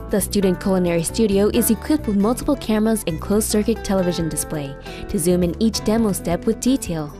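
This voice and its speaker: A woman reading scripted documentary narration in a measured, formal way. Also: mid-range voice.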